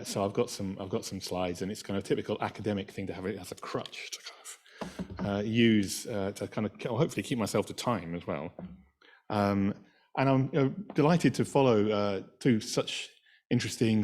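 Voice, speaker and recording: A man's voice: fairly low pitch, normal speed, a little mellow. A middle-aged man with a Scottish accent giving an engineering talk from slides, chatty and full of fillers and restarts. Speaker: invited speaker, engineering professor.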